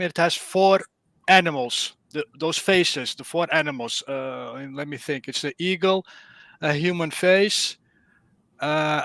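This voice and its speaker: Dutch-accented male caller, fairly high and bright, dry close sound, earnest and groping for words. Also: no room sound. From Netherlands. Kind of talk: casual explanation on a live stream.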